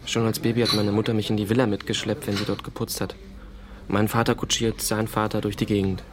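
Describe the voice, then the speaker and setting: A man's voice: fairly low pitch, little pitch movement, quick pace, background noise, lightly acted. An actor playing a sullen, insecure teenage boy in a naturalistic radio drama, speaking in a hesitant, colloquial way. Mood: guarded. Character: sullen, insecure fourteen-year-old boy, younger brother of a soldier.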